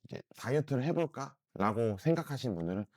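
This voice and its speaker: A middle-aged man, hoarse from a throat cold, talks through a home product review. His pitch swings up and down a lot as he pushes his point. The sound is close and dry, with no room echo. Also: lively intonation, fast, a little mellow. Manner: earnest, repeats "진짜" often, persuasive. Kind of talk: casual review vlog.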